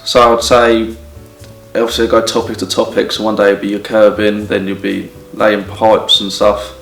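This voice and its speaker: Man, twenties, English accent, low, monotone, easygoing, distant mic, echoey, music. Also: normal speed, creaky. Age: 20s. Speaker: groundworks apprentice. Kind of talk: casual interview answers.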